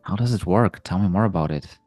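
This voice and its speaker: A man's voice: sits low, a little fast, a little mellow, no echo, soft background music. A man hosting a podcast interview, asking curious follow-up questions. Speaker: podcast host.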